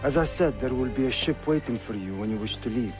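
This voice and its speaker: An actor playing a young, patient prince in a video game, polite and measured. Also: male voice, medium pitch, over music, close to an everyday voice. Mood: calm, diplomatic. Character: young, diplomatic, patient Ottoman prince, a nephew. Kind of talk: dramatic video game acting.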